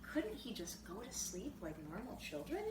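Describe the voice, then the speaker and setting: A woman reading a children's story aloud in an expressive, animated storybook style. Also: mid-range voice, normal speed. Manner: expressive, acts out the characters' dialogue. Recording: roomy, distant mic, clearly noisy background.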